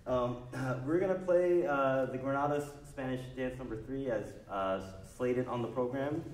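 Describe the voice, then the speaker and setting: Hoarse man speaking from a concert stage. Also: darkish tone. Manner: casual, halting with fillers. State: hoarse, losing his voice. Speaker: guitarist introducing a piece. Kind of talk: spoken concert introduction. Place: recital hall stage. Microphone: far camera mic. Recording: echoey hall, distant mic, faint rumble.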